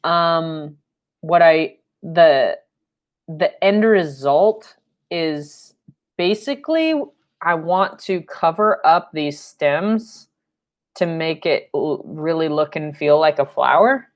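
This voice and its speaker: A young woman with a notably low, deep-set voice talks at an unhurried pace while she paints. She rambles in a friendly, chatty way, full of fillers. She is heard through a camera mic a little way off in a room at home. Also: lively intonation. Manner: rambling and chatty, with fillers and playful sound effects. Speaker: painting instructor. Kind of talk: live-stream painting lesson with chatty asides. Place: home studio room, indoors.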